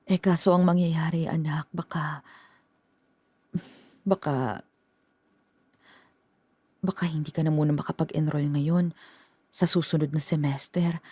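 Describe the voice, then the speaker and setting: An actress playing a worried middle-aged mother who speaks in a halting, gentle way in a radio drama. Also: low voice, steady tone, slightly rough, no echo. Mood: hesitant.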